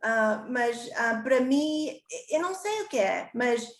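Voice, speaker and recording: A woman on a panel, speaking slowly and searching for words, with audible effort. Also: a little high, animated, reverberant. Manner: slow and searching, speaking a second language with effort. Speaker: panel guest. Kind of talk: online panel interview.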